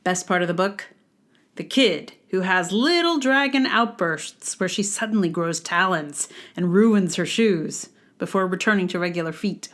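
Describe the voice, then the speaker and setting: A woman's voice: lowish voice, a little slow, a bit bright. A woman hosting a podcast, reading her own book-review blog aloud in a wry, sardonic way with exaggerated emphasis. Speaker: podcast host.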